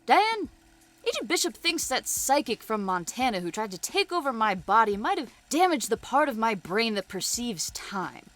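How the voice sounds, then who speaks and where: A woman's voice: fairly high pitch, sing-song, normal speed, fairly bright tone. A woman acting in a comic audio drama as an earnest, quirky agent dictating mock-serious reports. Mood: deadpan earnest.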